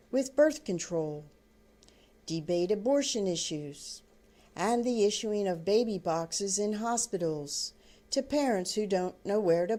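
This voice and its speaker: An older woman reading her own book aloud as storybook narration, in deliberate, halting phrases. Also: medium pitch, warm tone.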